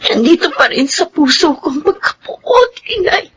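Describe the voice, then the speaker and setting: High-voiced, anguished grieving mother. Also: sing-song, moderate pace, slight background noise, rough recording. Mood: anguished grief. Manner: emotional, impassioned, sobbing delivery. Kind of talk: tearful radio drama acting.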